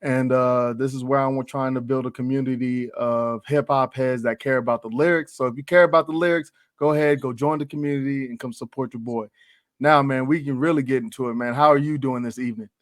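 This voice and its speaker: A man's voice: medium pitch, fairly even intonation, brisk, no echo. Male interview host, mid-range voice, laid-back slangy delivery, very dry recording. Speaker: host and interviewer of a hip-hop show. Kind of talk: casual interview chat.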